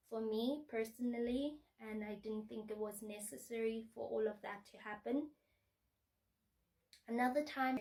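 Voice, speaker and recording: A woman vlogger speaking to the camera in an earnest, measured, reflective way. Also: a little high, creaky, distant mic, low-quality recording. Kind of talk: personal vlog monologue.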